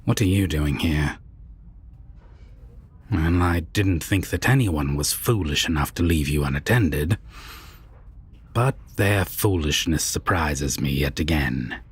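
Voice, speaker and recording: Man acting a very deep, husky vampire villain. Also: lively intonation, full character voice. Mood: cool, mocking. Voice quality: low, husky, breathy, dropping into whispers. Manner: slow, deliberate, mocking, formal phrasing. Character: menacing, condescending male vampire villain, possessive captor. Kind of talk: dramatic roleplay audio acting.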